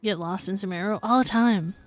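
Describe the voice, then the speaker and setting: A woman's voice: medium pitch, fairly quick, soft background music. A woman live-streaming a game with casual, chatty, playful commentary.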